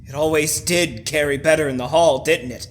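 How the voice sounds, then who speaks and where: An actor playing a menacing, taunting brother-in-law in a radio horror drama, speaking deliberately. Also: male voice, fairly high pitch, a little hiss or hum, close to an everyday voice. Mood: taunting menace. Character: menacing, teasing brother-in-law stalking a woman. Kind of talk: old-time radio horror drama acting.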